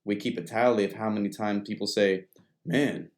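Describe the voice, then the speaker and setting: A man's voice: lowish voice, steady tone, normal speed, darkish tone. A young man hosting a podcast, chatting casually in a rambling way, full of restarts. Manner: rambling, many restarts and fillers. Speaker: podcast host. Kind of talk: casual chat.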